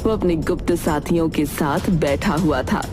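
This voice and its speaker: A woman's voice: sits low, a little slow, over music. A woman reading scripted, dramatic story narration as a storyteller.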